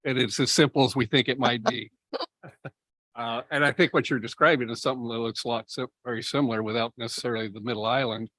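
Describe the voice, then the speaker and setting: A city staff member explaining budget items at a public meeting, measured and explanatory, with many fillers. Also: male voice, mid-range voice, fairly even intonation, pushed and bright. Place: meeting room.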